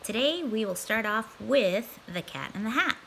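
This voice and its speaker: A woman in her forties reading a children's storybook aloud in an expressive narrating style. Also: a little high, moderate pace, vocal fry, a little hiss or hum. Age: 40s. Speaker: storybook reader.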